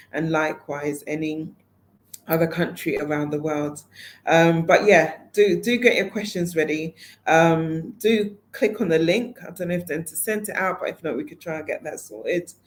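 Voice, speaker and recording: A woman with a slightly low voice addresses her audience as the organizer of a network event. Her delivery is informal and conversational, full of fillers and self-corrections. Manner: conversational, many fillers and restarts. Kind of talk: casual online talk to an audience.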